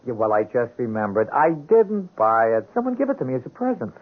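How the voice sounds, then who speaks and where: An actor in a 1970s radio drama playing a hapless, befuddled small-time drunkard who stammers evasively in streetwise speech. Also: male voice, medium pitch, big pitch swings, fairly quick. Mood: evasive, nervous. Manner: stammering, hesitant, streetwise bad grammar. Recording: tinny, band-limited old radio broadcast.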